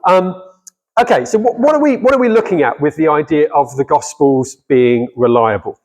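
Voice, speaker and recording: A man's voice: fairly high pitch, animated, normal speed, a little mellow, rough recording. A middle-aged man giving a guest talk on scripture to a church audience, speaking conversationally and putting questions to his listeners. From not from Cardiff.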